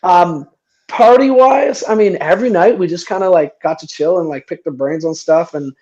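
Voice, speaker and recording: A man's voice: high voice, moderate pace, darkish tone, muffled recording. A man in his forties from Canada, talking casually as a band member guest in a radio interview, rambling with many restarts and easy laughs. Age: 40s.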